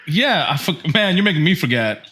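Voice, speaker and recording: A man's voice: a little high, big pitch swings, brisk, pushed and bright, a little hiss or hum. A man in his thirties co-hosting a podcast, chatting casually and opinionatedly among friends. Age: 30s. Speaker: podcast co-host.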